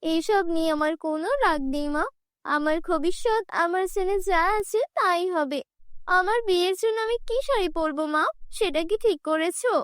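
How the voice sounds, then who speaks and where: A woman acts out a poor young village bride in a fairy-tale cartoon. Her voice is extremely high and dry, plaintive yet stubborn and proud, with emphatic delivery. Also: moderate pace, no echo. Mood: resigned.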